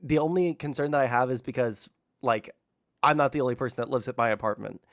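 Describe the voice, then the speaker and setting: A man streaming from home, chatting casually with lots of fillers and some swearing, his voice naturally loud. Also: mid-range voice, fast talker, no room sound, clean studio sound. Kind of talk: casual livestream chat. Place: room hung with soundproofing blankets. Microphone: streaming mic with gain turned low.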